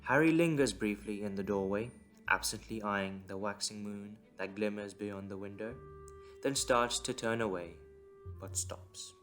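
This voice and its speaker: A man's voice: fairly low pitch, unhurried, a bit bright, soft background music. A man reading scripted story narration as the narrator of an audio drama.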